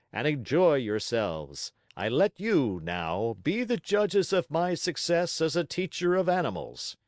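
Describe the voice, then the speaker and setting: A man's voice: on the high side, a little mellow, no room sound, studio quality, voice pushed up. A man voicing a pompous, domineering circus ringmaster in a storybook, with a grandiose showman's way of declaiming.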